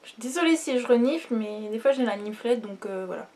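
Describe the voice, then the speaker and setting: A young woman with a slightly high voice, congested from a cold, talks through a makeup routine at home. She chats casually, fills gaps with hesitations and lets her sentences fade off quietly. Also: animated, brisk, noisy background. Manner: chatty, many fillers, trails off quietly. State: stuffy nose from a cold. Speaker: beauty vlogger. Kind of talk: casual makeup tutorial chat.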